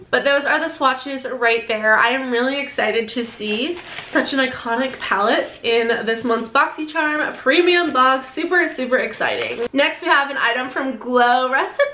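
A woman's voice: high voice, pushed and bright, echoey room, slight background noise. A young woman in her twenties with a nasal, congested voice, chatting casually and gushing through a product unboxing to camera. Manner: bubbly, gushing, quick asides. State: sore throat, congested. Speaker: beauty vlogger. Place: decorated bedroom, indoors.

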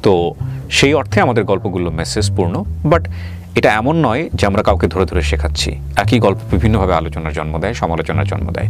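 Low-pitched, fast-talking Bangladeshi man hosting. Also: animated. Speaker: host of a life-story interview show. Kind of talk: spoken show introduction and interviewing. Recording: loud background music under the voice. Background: music.

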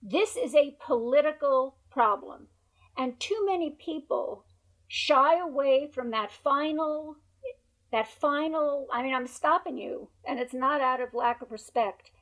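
Hoarse woman guest, emphatic and high. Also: unhurried, a bit bright, low-quality recording. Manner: emphatic, repeats points for stress. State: hoarse, has lost her voice. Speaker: guest researcher. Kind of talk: video call interview. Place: bright room at home by a window. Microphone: wired earbuds on a video call.

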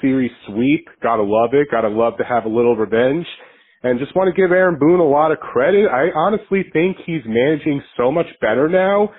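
A man's voice: medium pitch, brisk, breathy edge, phone-quality sound. A man from New Jersey leaving a casual fan opinion on a phone voicemail. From New Jersey, United States.

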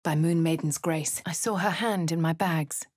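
An actress voicing a posh young woman adventurer in a video game, with a husky, refined delivery. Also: low voice, moderate pace, a little mellow, no echo. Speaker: player character. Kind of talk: video game narration and dialogue. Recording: dry studio booth.

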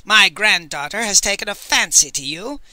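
Man doing a loud growling old matriarch. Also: high voice, expressive, moderate pace, no echo, voice pushed up, heavily acted. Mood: commanding. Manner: grunting, growling, loud and clipped. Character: enormous cold old woman tyrant, domineering.

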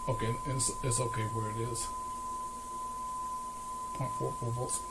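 A man giving an unscripted, hands-on repair demonstration, explaining step by step with hesitant pauses. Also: mid-range voice, flat delivery. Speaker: host, electronics repair demonstrator. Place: small cluttered indoor electronics workbench. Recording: noisy workshop recording with equipment sounds.

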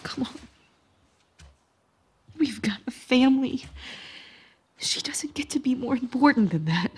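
A woman's voice: sits high, expressive, a little slow, a little mellow, husky, exaggerated voice. A woman playing a hurt, pleading young partner in a naturalistic game drama, raw and blunt.

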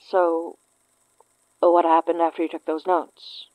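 Exasperated, protective woman friend, horror podcast drama. Lowish, unhurried, dryly biting, muffled narrow-band recording. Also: no echo. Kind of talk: horror audio drama acting. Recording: muffled, narrow phone-like sound.

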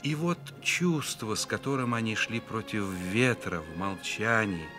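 A man narrating a literary radio play as the author's voice, measured and reflective, with deliberate pauses. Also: on the low side, over music, close to an everyday voice. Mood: lyrical, tender. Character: authorial narrator of a family novel, reflective and solemn. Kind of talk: scripted literary narration, dramatic radio reading.

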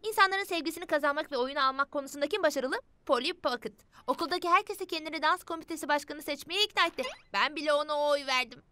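A woman's voice: high-pitched, fast talker, few pauses, no echo. A woman voicing an eager, chirpy young girl, a loyal helper, in a cartoon dub. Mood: musing. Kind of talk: Turkish cartoon dub acting. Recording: loud music behind.

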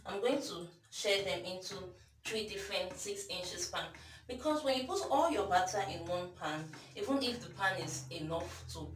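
A young Nigerian woman in her twenties with a Nigerian accent, chatting casually through a baking tutorial in a small home kitchen while she works. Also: lowish voice. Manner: chatty, self-deprecating, talks while working. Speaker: baking vlogger. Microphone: camera mic from across the kitchen. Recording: echoey kitchen from far off, noisy.

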